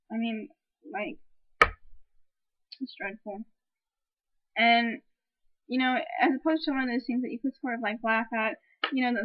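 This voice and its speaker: High-voiced young woman ranting. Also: muffled recording. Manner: exasperated, swears a lot, rambling restarts. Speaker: film reviewer. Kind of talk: ranting casual movie review. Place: room at home with a piano.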